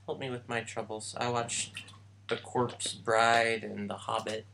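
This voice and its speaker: A young man recounting his trip in a casual vlog, slow and halting with many pauses. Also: medium pitch, flat delivery, gravelly, pushed and bright, slight background noise. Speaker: vlogger. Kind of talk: casual travel vlog chat.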